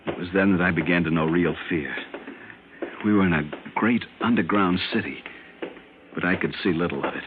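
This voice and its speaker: Old radio drama, guy playing a scared army lieutenant, lowish voice, intense n urgent, thin crackly sound. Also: a little fast, close to an everyday voice. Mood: haunted. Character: frightened military lieutenant recounting a strange captivity, urgent and haunted. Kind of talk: old-time radio drama acting, first-person narration. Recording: noisy, narrow-band old radio recording.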